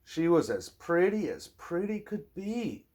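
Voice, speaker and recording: Slow, lilting dad reading a storybook. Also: male voice, high-pitched, big pitch swings, a little mellow. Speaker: parent reading to a child. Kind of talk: storybook read-aloud to a child.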